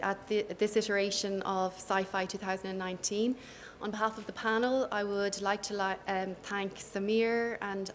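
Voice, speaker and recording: A woman with a gentle Irish accent moderates a conference panel into a microphone. She talks softly and hesitantly, with frequent fillers. A faint hiss of noise sits under her voice. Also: mid-range voice. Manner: soft-spoken, hesitant with many fillers. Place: conference hall.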